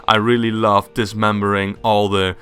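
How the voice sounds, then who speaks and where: A young man with a Dutch accent, casually giving his opinions on video games, enthusiastic and quick to laugh at himself. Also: on the low side, level tone, a bit bright, clean tone. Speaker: gaming YouTuber. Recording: faint background music.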